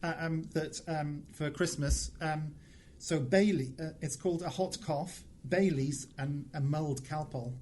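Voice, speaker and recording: A man's voice: on the high side, steady tone, a little slow, hardly any pauses, a little mellow, slight background noise. A man chatting casually as a podcast guest, with a playful, dry sense of humour. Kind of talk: casual interview chat.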